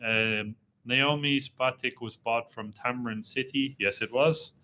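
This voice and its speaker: A young man with a slight Irish accent hosts a relaxed live chat from a dim room, his voice bright and forceful. He rambles easily and slows down as he reads comments aloud. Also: mid-range voice. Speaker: travel vlogger hosting a live Q&A. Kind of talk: casual live-stream chat reading viewer comments.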